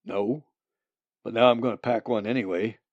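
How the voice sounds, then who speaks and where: A male narrator acts out a gruff storybook hunter, stubborn and folksy. The voice is loud and gravelly, with rustic phrasing, recorded close and dry. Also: on the low side, fairly even intonation, normal speed, no echo, muffled recording. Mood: grumbling. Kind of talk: storybook character voice.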